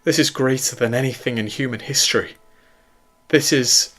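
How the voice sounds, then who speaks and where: A man's voice: medium pitch, lively intonation, measured pace, a bit bright, husky. A man playing a manic, desperate physicist in a dramatic story reading, breathless and wailing. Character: manic, desperate male physicist obsessed with his discovery.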